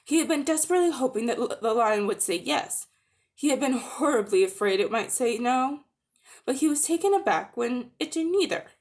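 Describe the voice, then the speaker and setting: A woman narrating a children's story as a dramatic reading, expressive and deliberate. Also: a little high. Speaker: audiobook narrator.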